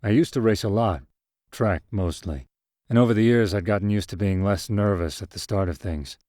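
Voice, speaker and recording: Low-pitched man narrating fiction, dry sound. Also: moderate pace, a little mellow, dry recording. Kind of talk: scripted first-person fiction narration.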